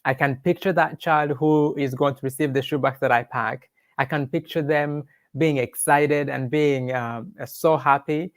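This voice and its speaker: A man's voice: on the high side, fairly even intonation, unhurried, few pauses, no room sound. Guy with a rwandan accent telling his life story in an interview, earnest and devout, close dry sound. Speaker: interview guest sharing testimony. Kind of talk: personal testimony in an interview.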